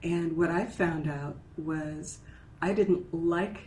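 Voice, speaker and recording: A woman's voice: on the low side, fairly even intonation, slow pace, warm tone. A woman guest, a voice and dialect coach, explaining her ideas in a thoughtful, casual way and often checking in with "right?". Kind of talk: casual conversational interview. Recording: roomy echo with faint ambience.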